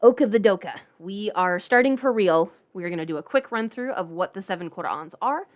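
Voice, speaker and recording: A woman's voice: mid-range voice, animated, a little fast. A woman hosting a livestream, chatting casually and playfully with informal asides. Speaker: livestream host.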